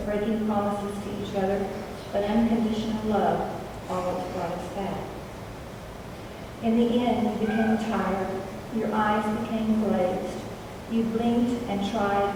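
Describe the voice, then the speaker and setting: Woman, soft-spoken, gentle reading, echoey room, audible background noise. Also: medium pitch. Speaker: fellowship member. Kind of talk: reading a personal remembrance aloud. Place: large meeting hall indoors. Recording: echoey hall, far from mic, background noise.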